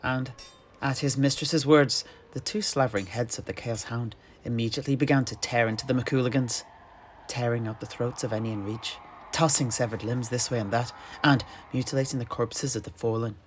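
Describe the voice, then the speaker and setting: A man's voice: mid-range voice, fairly even intonation. Theatrical male fantasy storyteller, noisy recording. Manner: theatrical, deliberate phrasing with pauses. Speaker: storyteller guest in an in-character fantasy chat. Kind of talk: dramatic in-character fantasy narration.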